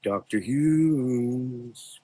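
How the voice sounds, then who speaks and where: A man's voice: mid-range voice, drawn-out words, breathy edge. A man voicing a fanatical villain doctor in a gothic horror audio drama, sneering, taunting and volatile. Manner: taunting, volatile, shouting in anger.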